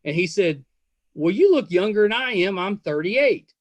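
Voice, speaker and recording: Male podcast host, fifties, lively swooping intonation, fairly high voice, chatty, self-deprecating. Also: normal speed, no echo. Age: 50s. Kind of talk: casual interview chat with anecdotes.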